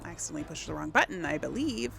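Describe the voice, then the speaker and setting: A woman casually commentates a video game she is playing, with music running behind her. Her pitch swings widely and there is noticeable creak in her voice as she thinks aloud and grumbles to herself. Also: expressive, racing. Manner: thinks aloud, mutters complaints to self. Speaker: let's play host. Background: video game music and sounds.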